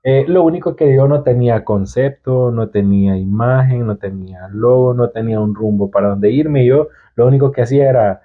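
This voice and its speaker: A man's voice: medium pitch, normal speed, few pauses. Salvadoran guy, tinny phone-like sound. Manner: chatty, hesitant restarts, laughs often. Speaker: guest entrepreneur. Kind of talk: casual podcast chat.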